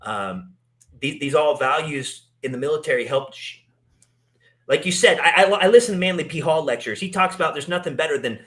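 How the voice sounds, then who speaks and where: Man, podcast host, higher pitch, rambling, casual chat. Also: expressive, a little fast, a bit bright. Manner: rambling, many restarts and fillers. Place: in Italy.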